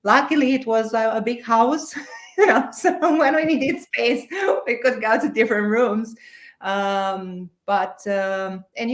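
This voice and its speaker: A woman from Romania with a Romanian accent, answering interview questions with a warm, halting manner and many restarts. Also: mid-range voice, reverberant. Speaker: guest author.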